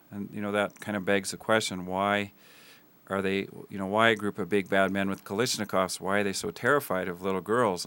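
Man, deep flat smooth voice, earnest guest with halting fillers. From Tanzania. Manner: earnest, many ums and uhs. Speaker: guest, school builder. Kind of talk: interview answers.

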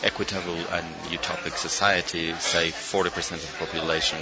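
A middle-aged man from Argentina, a guest artist in a radio interview, speaking thoughtfully with frequent pauses and fillers. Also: low-pitched, flat delivery, a loud crowd, heavy background noise. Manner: thoughtful, hesitant with many fillers.

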